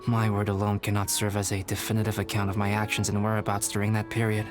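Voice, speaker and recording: A composed, analytical young alchemist, voiced by a man, in a lowish voice that stays formal and precise. Game music runs clearly in the background. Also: monotone, fast talker, close to an everyday voice. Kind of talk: video game voice acting.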